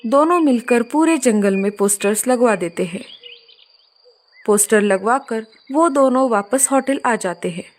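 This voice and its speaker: A woman's voice: fairly high pitch, lively intonation, a little slow. A woman narrating a scripted story for children.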